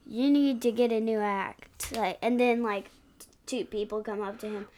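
Female voice, fairly high pitch, podcast co-host, casual chat, simple literal phrasing. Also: fairly even intonation, moderate pace, a little mellow, slight background noise. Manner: simple, literal explanations with frequent "like". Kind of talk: casual chat, commenting on comic strips.

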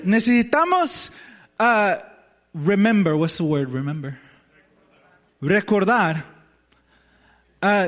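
Slow halting preacher in a big reverberant hall. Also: male voice, very high voice, a bit bright. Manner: halting, searching for words in a second language. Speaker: pastor. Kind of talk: sermon.

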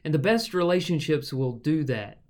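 A man hosting a podcast, talking through a Bible passage in a relaxed, explanatory way with a co-host. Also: on the high side, moderate pace. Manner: relaxed and explanatory, with frequent fillers. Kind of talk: conversational Bible teaching.

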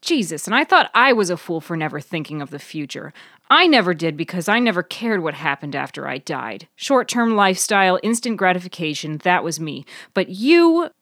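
A woman narrator acts out a domineering woman from a fiction story. She is curt, blunt and easily agitated, lifted a little above a low narrating voice, dry and close. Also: sing-song, normal speed, fairly bright tone, dry recording, exaggerated voice. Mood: agitated, scornful. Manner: blunt, uncensored.

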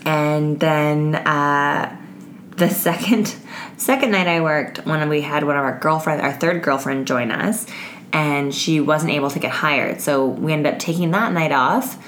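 A woman hosting a casual solo podcast, her voice raspy and hoarse as it gives out. She talks frankly, with lots of fillers and swearing. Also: low-pitched, a little slow, fairly bright tone, slight background noise. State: hoarse, voice giving out. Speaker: podcast host.

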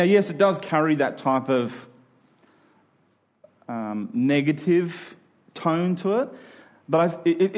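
A man's voice: fairly high pitch, a little mellow, lo-fi sound. A man with an Australian accent preaching a conversational sermon, explaining step by step and leaning on key phrases. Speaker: pastor.

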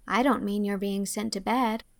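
Woman voicing an earnest young woman, gently reproving, slight vocal fry, dry close sound. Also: medium pitch, steady tone, normal speed, no echo, natural voice.

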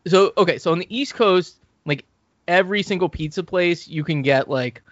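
A man's voice: high-pitched, moderate pace, dry recording, muffled recording. A man hosting a podcast, recapping TV in casual, rambling, profane banter. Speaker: podcast host.

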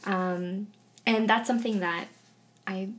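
A young woman tells a personal story in a relaxed group conversation. She speaks with a mid-range voice and stops often to restart her sentences. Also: medium pitch, slow. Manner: hesitant, many fillers and restarts. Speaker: guest.

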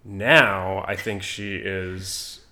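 A man's voice: low voice, fairly even intonation, extremely slow, a crowd in the background. A man co-hosting a podcast, chatting casually and jokingly about a favorite television performance. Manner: joking, rambling. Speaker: podcast co-host.

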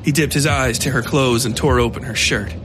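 A man giving scripted narration of a dark horror story. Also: mid-range voice, steady tone, a little fast, background noise.

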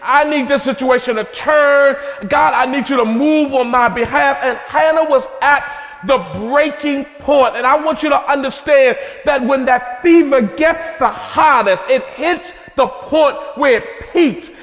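A man preaching in a very high voice, shouting with fervor and building his phrases in rhythmic repetition. His voice rings out with a long reverb, as if in a large church hall. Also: unhurried.

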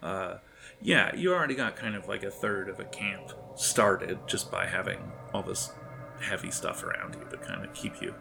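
Gravelly low-voiced man running a game. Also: very animated, music in the background. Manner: halting, conversational, addresses players directly. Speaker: game master. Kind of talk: improvised tabletop game narration.

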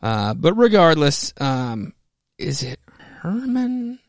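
An American man hosts, reading comic-book narration theatrically and adding casual commentary. His voice sits a little high for a man. The sound is close and dry. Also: sing-song, takes it slowly, no room sound. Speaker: podcast host.

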